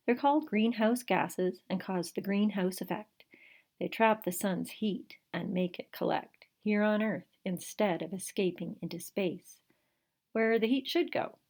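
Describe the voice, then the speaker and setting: A woman's voice: on the low side, a little mellow. A woman reading the part of a matter-of-fact dad explaining things plainly to a child in a picture book.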